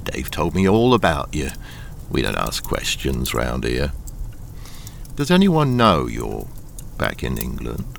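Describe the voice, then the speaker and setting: Man acting gruff secretive captain, radio drama, lively deep delivery, brusque, faint rain noise. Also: slow, heavily acted. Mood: businesslike. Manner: brusque, probing questions. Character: gruff, secretive old mine-boss captain, shady employer.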